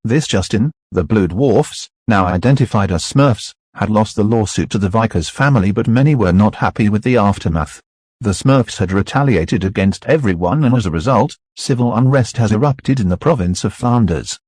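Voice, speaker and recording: Man as a husky, low news anchor. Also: lively intonation, normal speed, darkish tone, no room sound, studio quality. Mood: grave. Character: sober male breaking-news anchor. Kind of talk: scripted news bulletin.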